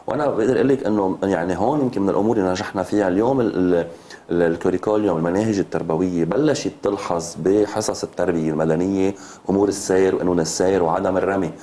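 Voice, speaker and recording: A Lebanese man in his fifties speaks as an interview guest in a deep, soft-edged voice. He talks very quickly and insistently, often repeating phrases. There is some noise behind him. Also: fairly even intonation. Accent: Lebanese Arabic. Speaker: road safety advocate, TV guest. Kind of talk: studio interview. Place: TV studio. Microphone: lapel mic.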